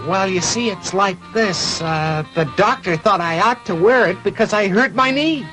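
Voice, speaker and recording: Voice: mid-range voice, moderate pace, fairly bright tone, faint music behind, close to an everyday voice, rough recording. An actor playing a cocky, mischievous schoolboy in a dubbed classic cartoon, breezy and self-satisfied. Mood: evasive, feigned ease. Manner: breezy, self-satisfied, stammers when evasive.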